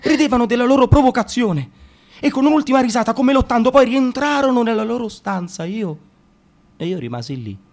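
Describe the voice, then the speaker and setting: Expressive male radio drama narrator. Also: extremely high pitch, brisk. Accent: Italian. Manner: expressive, swinging from hushed to loud.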